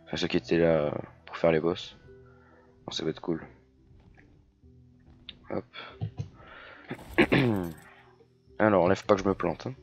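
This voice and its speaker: A hoarse man chatting casually as he plays a video game, full of fillers and restarts. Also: low voice, slow, long pauses, soft background music. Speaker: gaming YouTuber. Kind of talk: casual let's-play commentary.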